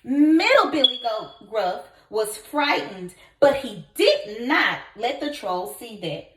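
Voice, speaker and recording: An echoey room carries a woman's slow, very animated read-aloud. She is a kindergarten teacher, expressive and encouraging as she tells the story and asks her young listeners questions. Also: on the high side.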